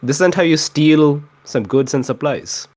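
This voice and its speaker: A man's voice: on the high side, unhurried, darkish tone, no echo. A man casually recapping a tabletop role-playing session as a player, with dry, mock-exasperated asides.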